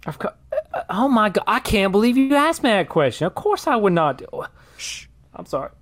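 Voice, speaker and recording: A performer plays a jittery young woman murder suspect in a comedy mockumentary, stumbling over her words and drawling slang as she dodges. Her pitch swings widely in a dry, close-sounding room. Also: very animated, brisk, no echo, lightly acted. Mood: flustered. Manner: stammering, defensive, drawling slang. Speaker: interviewee.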